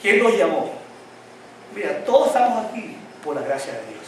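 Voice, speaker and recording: A man's voice: high voice, very animated, moderate pace, a little hiss or hum. A male pastor preaching a sermon, often asking the congregation questions for them to answer, with a slight tongue-tie that tangles his words. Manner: call-and-response questions to the congregation. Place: church hall. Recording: very echoey hall, mic at a distance.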